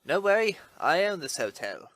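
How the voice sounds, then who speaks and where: A man's voice: a little high, very animated, normal speed, fairly bright tone, no echo, poor audio. A man playing a blustering, scornful rich financier in a comic dub, pompous in manner. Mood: smug, scornful. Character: blustering wealthy male financier, scornful father.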